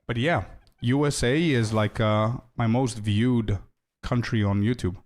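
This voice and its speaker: A young male game streamer talking casually to his chat. He is loose and chatty, drifts into side stories, and calls viewers "bro". His voice sits at an ordinary middle pitch. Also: a little slow, a little mellow.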